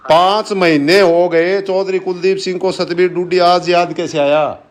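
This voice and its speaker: Higher-pitched male voice, insistent, repetitive questioning, respectful address, recorded phone conversation. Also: fairly even intonation, unhurried. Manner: insistent, repeats his questions, deferential address. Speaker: local supporter on a phone call. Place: phone call.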